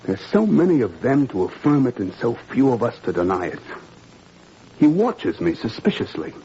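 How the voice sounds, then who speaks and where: A man's voice: medium pitch, sing-song, moderate pace, dark tone, natural voice. A man playing an idealistic, troubled father in a 1940s radio drama, earnest and probing with wry irony. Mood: urgent, confiding. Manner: probing, earnest, wryly ironic. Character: idealistic French father, troubled, principled, quietly ironic. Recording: muffled, noisy old radio recording.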